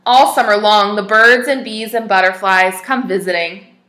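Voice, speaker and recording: A woman teacher reading a picture book aloud to young children, expressive and stopping to ask them questions. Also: medium pitch, normal speed, reverberant.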